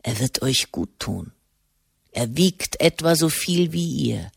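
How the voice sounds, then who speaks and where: A woman voicing a gruff, scornful old hermit monk who growls cutting remarks in a storybook reading. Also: deep voice, animated, slow, dry recording, clean studio sound, voice pushed down, exaggerated voice. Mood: scathing.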